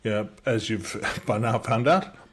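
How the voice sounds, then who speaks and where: A middle-aged South African man, his accent softened by years in the UK, walks through a software tutorial in casual talk. He talks fast, with many restarts and fillers. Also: fairly low pitch, breathy edge. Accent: South African, softened by decades in the UK. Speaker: entrepreneur demonstrating software. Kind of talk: casual screen-share tutorial. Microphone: webcam in a small circle over the screen recording.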